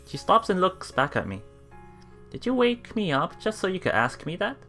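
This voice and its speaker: Let's player, mid-pitched and animated, reading game text aloud and thinking out loud, music underneath. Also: normal speed. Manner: musing, often thinking aloud. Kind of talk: reading visual novel text aloud with casual reactions.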